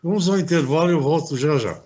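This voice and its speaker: A man's voice: fairly high pitch, fast talker, dull audio. A man hosting an interview show, chatting in a rambling way with many restarts. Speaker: host. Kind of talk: casual interview talk.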